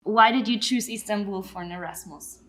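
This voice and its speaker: A woman hosting a student interview show, reading scripted introductions and questions in an even manner. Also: medium pitch, moderate pace. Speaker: host.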